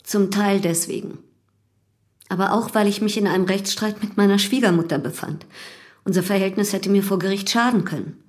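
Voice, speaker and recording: An actress playing a calm, unashamed young widow in love, in a German radio drama. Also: female voice, mid-range voice, brisk, lightly acted. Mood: composed, matter-of-fact. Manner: composed, unashamed.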